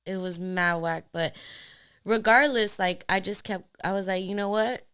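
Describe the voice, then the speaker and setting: A young woman, a lash trainer hosting a casual podcast, talking in an animated, slangy, encouraging way. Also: medium pitch, normal speed, clean tone.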